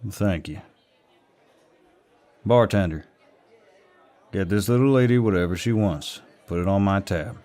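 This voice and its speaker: A man playing a protective, dominant Southern gentleman in an audio roleplay, speaking politely but firmly with a Southern US drawl. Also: low-pitched, a little slow, pauses often. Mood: courteous, apologetic. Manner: polite, drawling, firm, old-fashioned courtesy. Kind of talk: roleplay audio acting, intimate and scripted.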